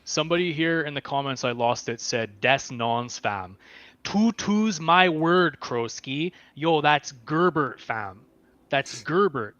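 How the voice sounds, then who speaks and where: A man's voice: on the high side, expressive, slow pace, bright, forceful tone, no echo. A man hosting a casual livestream chat, joking in a mock-scholarly manner. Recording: dull lo-fi mic with faint hiss.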